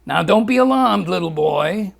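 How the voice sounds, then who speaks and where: A man's voice: high-pitched, lively intonation, normal speed, vocal fry, voice pushed up, heavily acted. A man voicing a jolly, whooping old doctor in a hat, in a sing-song rhyming delivery. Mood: jovial.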